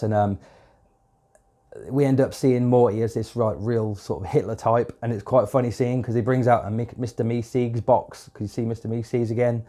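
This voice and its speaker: A man's voice: medium pitch, warm tone. A man with a cold and a stuffed-up nose, casually reviewing a show episode in a rambling way, with lots of fillers and swearing. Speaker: video reviewer.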